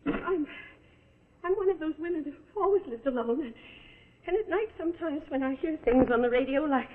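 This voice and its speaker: An actress playing a desperate lone woman stranded at night, pleading and excitable, in 1940s television suspense style. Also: high voice, moderate pace, long reverb tail. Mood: excited relief. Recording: tinny 1940s television soundtrack with music.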